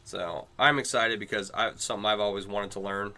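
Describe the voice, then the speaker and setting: A man's voice: on the low side, steady tone, brisk, pushed and bright, soft background music. A middle-aged man chatting casually on a livestream while he works on chainmail, greeting and answering his viewers. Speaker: chainmail maker streaming live.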